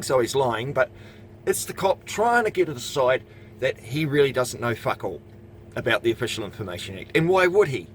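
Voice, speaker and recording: A man's voice: mid-range voice, fairly bright tone, a little hiss or hum. A New Zealand man giving angry, sarcastic commentary, lecturing the police with swearing. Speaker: video channel host commenting.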